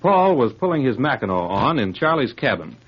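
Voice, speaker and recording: A man's voice: mid-range voice, very animated, a little fast, breathy edge. A man narrating a 1940s radio adventure as announcer, dramatic and declamatory in a scripted style. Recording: muffled old radio recording.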